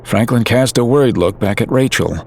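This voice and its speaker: A man narrating a story in a calm, measured, scripted way. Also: mid-range voice, creaky. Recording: music under narration.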